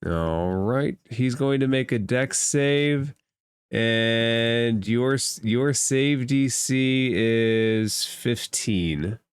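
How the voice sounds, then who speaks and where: A man narrating a tabletop roleplaying game as game master, explaining rules and addressing the players in a measured way. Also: mid-range voice, clear, resonant tone, no room sound.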